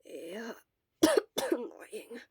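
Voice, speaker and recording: A woman's voice: on the high side, animated, drawn-out words, breathy edge, no room sound, studio quality, full character voice. A woman voicing a sick, grumbling fifteen-year-old boy in a story, with a thin, fading, weary voice. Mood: grumpy, weak.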